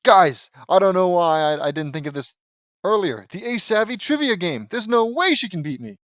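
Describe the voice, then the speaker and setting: A man voicing a nervous, easily rattled cartoon boy with an excitable, flustered manner. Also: on the high side, fast, no echo, clean studio sound. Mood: excited.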